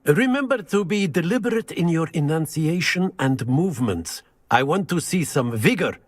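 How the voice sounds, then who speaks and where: A man's voice: a little high, lively intonation, moderate pace, no echo, clean studio sound. A man playing a jovial, theatrical professor of spells in a video game, grand, precise and encouraging. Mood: cheerful, encouraging. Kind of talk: scripted video game acting.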